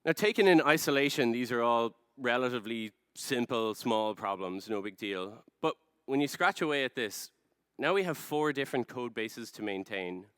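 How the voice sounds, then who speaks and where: An Irish man in his thirties giving a rehearsed conference presentation, explaining things in a measured, storytelling way with emphasis. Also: medium pitch, expressive, a bit bright, clean tone, dry recording. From Ireland. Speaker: conference speaker, product design director. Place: large dark stage, indoors.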